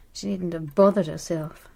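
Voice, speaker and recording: A scornful wife in a radio crime drama, glad to be rid of her husband. Her voice sits on the low side. She is sardonic and cool, with a cutting edge to her words. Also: gravelly, a little mellow, full character voice. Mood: dry, triumphant. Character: bitter, sardonic estranged wife, freshly and gladly widowed.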